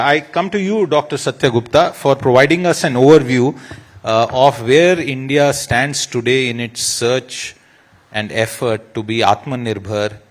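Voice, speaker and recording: Panel moderator, male, mid pitch, hesitant delivery with fillers and playful asides.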